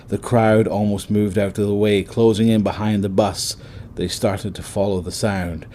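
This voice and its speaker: A man's voice: on the low side, warm tone, a little hiss or hum. A man narrating a scripted horror audio drama in a dramatic storytelling style. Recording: music under the voice.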